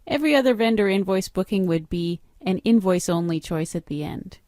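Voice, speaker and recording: A woman's voice: mid-range voice, animated, a little fast, a little mellow, no room sound, clean studio sound. A middle-aged woman narrating a screen-recorded training walkthrough, explaining each step in a matter-of-fact instructional way. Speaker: software trainer.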